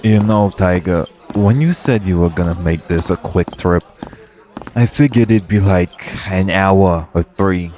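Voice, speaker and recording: A man's voice: low-pitched, unhurried, noisy background. A man playing a cocky, possessive vampire lord in an audio roleplay, with a casual, sardonic drawl and an edge of menace. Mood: teasing.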